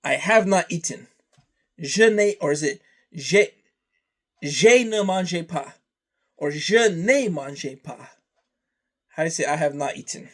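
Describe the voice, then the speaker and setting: Slow rambling man, Serbian native speaker. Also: on the high side, expressive. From Canada. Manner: rambling, slow, trailing off into lists. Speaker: vlogger. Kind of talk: casual vlog talk.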